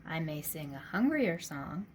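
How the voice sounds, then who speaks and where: A plucky little rooster from a storybook, acted out by a woman. He is headstrong and steadfast, defiant in the face of bullying. A faint noise sits behind the voice. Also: unhurried. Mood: calm defiance. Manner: calm, steadfast speech with high crowing calls.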